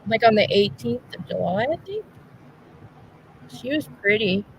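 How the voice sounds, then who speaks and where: A woman's voice: medium pitch, expressive, unhurried, gravelly, slight background noise, poor audio. A woman raised in Kansas hosting a livestream, chatting casually and stumbling over words as she reads out facts. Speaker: livestream host. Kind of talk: casual chat.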